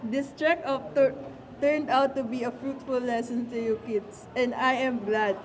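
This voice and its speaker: A woman's voice: sits high, steady tone, unhurried, a little hiss or hum. A woman playing a kindly doctor who talks to children in a scripted puppet show. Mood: approving.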